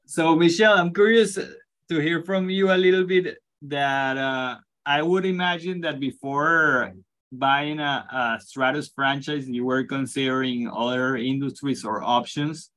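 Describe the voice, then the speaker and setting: A man's voice: mid-range voice, steady tone, measured pace, a bit bright. A man hosting a podcast interview, asking friendly questions with many hesitations and filler sounds. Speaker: podcast host.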